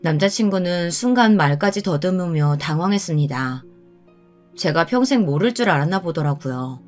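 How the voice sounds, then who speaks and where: A woman's voice: on the low side, lively intonation, normal speed, a little mellow, faint music behind. A woman reading a scripted first-person story as its narrator.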